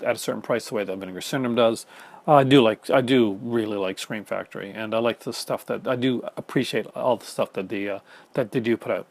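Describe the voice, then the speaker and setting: Fast-talking Canadian man, gravelly voice. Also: fairly low pitch. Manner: fast-talking, many fillers and restarts. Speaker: film collector YouTuber. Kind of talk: casual chat reading viewer comments. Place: home room in front of film shelves.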